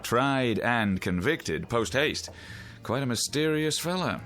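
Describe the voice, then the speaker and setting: Wry, urbane reporter at a bar: radio drama, unhurried, swooping intonation, faint music. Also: male voice, mid-range voice, lively intonation, a bit bright. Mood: wry musing. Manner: wry, theatrical, leisurely phrasing. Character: urbane, musing newspaperman drinking at a bar.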